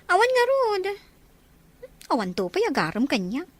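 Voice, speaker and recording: A woman's voice: very high-pitched, wildly swooping pitch, normal speed, slight background noise, heavily acted. A woman playing a playful, wistful young single friend in an audio drama. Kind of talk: Ilocano audio drama acting.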